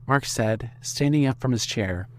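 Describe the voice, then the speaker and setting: Male narrator for audio fiction: medium pitch, scripted storytelling, some background noise. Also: measured pace. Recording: clearly noisy background.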